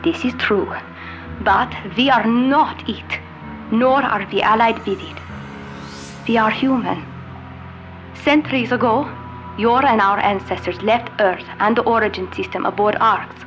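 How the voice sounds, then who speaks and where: A woman playing a solemn starship captain who leads survivors, delivering a formal, measured message in an audio drama. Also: fairly high pitch, a bit bright. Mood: solemn, resolute. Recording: music under the voice.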